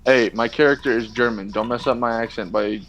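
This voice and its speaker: A man's voice: mid-range voice, steady tone, noisy background. Young man bantering casually with friends. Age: 20s. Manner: joking, quick back-and-forth banter. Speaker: dungeon master. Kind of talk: casual chat before a tabletop game stream.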